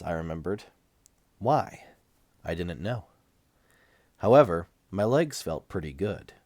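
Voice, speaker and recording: A man getting over a cold reads a first-person novel aloud, measured, with dramatic pauses. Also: low voice, a little mellow, dry recording. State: recovering from a cold. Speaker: amateur audiobook reader.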